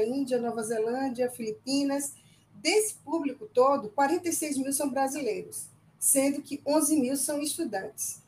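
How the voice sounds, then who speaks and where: Higher-voiced woman interviewer, faint noise. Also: darkish tone, poor audio. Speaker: psychologist, interviewer. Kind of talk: casual interview.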